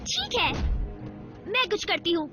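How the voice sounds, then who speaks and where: Eager cartoon teen girl: cheeky, extremely high-pitched, loud music underneath. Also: very animated, measured pace, full character voice. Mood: cheeky, complaining. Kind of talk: Hindi cartoon dubbing.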